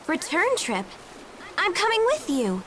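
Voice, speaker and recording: A woman playing a perky, eager and headstrong teenage girl adventurer in a video game, bubbly and expressive. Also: extremely high pitch, unhurried, a little hiss or hum, exaggerated voice. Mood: chirpy. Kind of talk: video game English dub acting.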